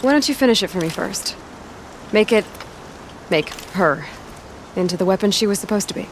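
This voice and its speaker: A woman playing a calm, capable young huntress in a video game, speaking in an understated, wry way. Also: mid-range voice, normal speed, a little hiss or hum, natural voice, low-quality recording. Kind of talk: video game dramatic acting.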